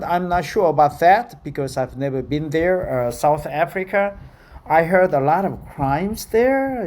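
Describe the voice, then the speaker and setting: A man's voice: a little high, mellow. A Korean man in his fifties speaking English as a learner, with many fillers and careful non-native phrasing as he talks and then reads an article aloud. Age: 50s. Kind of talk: casual spoken practice, then slow reading aloud.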